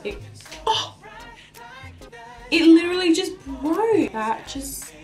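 Young aussie girl, bit of a cold, slow chatty makeup review, small room. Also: very high voice, sing-song, soft background music. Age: young adult. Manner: chatty, unhurried, trailing off mid-sentence. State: slightly stuffy from a cold. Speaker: beauty vlogger. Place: small indoor room, plain wall.

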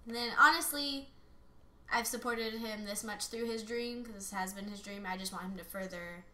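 A woman's voice: medium pitch, moderate pace, pushed and bright. A woman, chatting casually as a guest, sitting back from a shared microphone at home. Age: 20s. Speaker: guest, host's fiancée. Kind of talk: casual chat. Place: small room at home. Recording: roomy, hissy, off-mic home recording.

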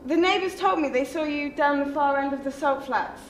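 A woman's voice: high-pitched, moderate pace, close to an everyday voice. A woman playing a wary young wife. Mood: probing. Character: young wife and mother, anxious, suspicious. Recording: echoey stage with faint noise.

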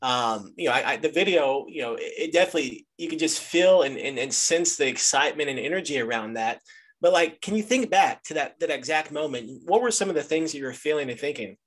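A man's voice: fairly high pitch, animated, brisk, a bit bright. A man hosting a casual interview, repeating words and rephrasing as he puts his questions. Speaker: interviewer, host.

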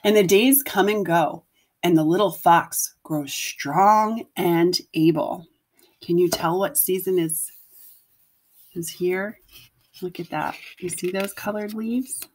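A woman's voice: on the low side, takes it slowly, slight background noise. A woman teacher reading a picture book aloud to children, explaining things simply and putting questions to her listeners.

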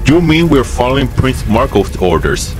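A man voicing a cruel, coolly curious soldier with a menacing, deliberate manner in a fan dub. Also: mid-range voice, sing-song, faint music behind, lightly acted. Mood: grudging.

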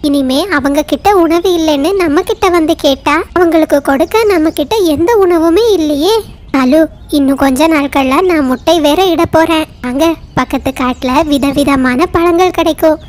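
A woman plays a gentle cartoon crow wife. Her voice is very high and sweet, with an imploring way of talking. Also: moderate pace, lightly acted. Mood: wistful. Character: gentle female crow wife, expecting eggs, pleading.

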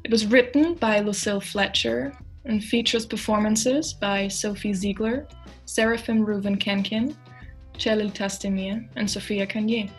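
A woman's voice: mid-range voice, fairly even intonation, normal speed, breathy edge. A woman announcer giving a scripted, theatrical introduction to a radio play. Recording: music behind the voice.

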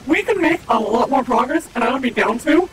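A man with a strikingly high, slightly raspy voice who chats upbeat and casually to a live stream audience. He speaks into a desk mic. Music plays clearly underneath him. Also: lively intonation, brisk. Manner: chatty, upbeat, talks to chat.

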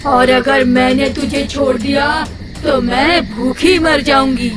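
A woman voicing a hungry, menacing, gloating forest witch in an animated horror story. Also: high voice. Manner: slow, drawn-out, cackling delivery. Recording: loud music behind. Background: music.

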